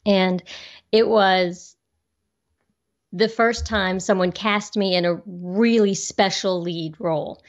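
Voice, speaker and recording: Bubbly actress on a call. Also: female voice, mid-range voice, steady tone, slow, dull audio. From Georgia, US. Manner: bubbly, self-deprecating, laughs often. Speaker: actress and voiceover artist, guest. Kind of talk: casual interview chat.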